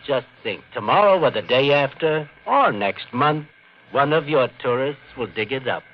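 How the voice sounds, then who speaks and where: An actor playing a sly beachcomber in an old radio drama, taunting his victim in an unhurried, sardonic way. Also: medium pitch, animated, close to an everyday voice. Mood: mocking amusement. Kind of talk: 1940s-50s radio drama acting. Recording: muffled, noisy old radio recording.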